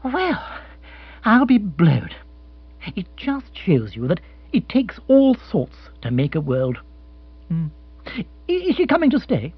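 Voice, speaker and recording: A man's voice: sits high, huge pitch swings, unhurried, breathy edge, pitched above the performer's own voice, heavily acted. A man voicing an exasperated young lord in a comic audiobook, full of blustering, exclamatory upper-class slang. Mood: incredulous. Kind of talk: comic audiobook character acting. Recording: muffled, echoey recording with hum.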